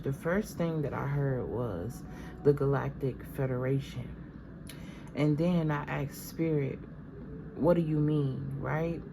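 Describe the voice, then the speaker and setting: Low-voiced stuffy woman reading tarot. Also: background noise. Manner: conversational, meandering, addresses viewers as "y'all". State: stuffy from allergies. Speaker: tarot reader.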